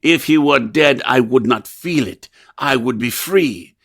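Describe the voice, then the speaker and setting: An actor playing a haunted, desperate composer in an old-time radio drama, with theatrical, brooding delivery. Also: male voice, medium pitch, measured pace, a bit bright, breathy edge. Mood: anguished. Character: tormented, obsessive male composer, haunted and desperate.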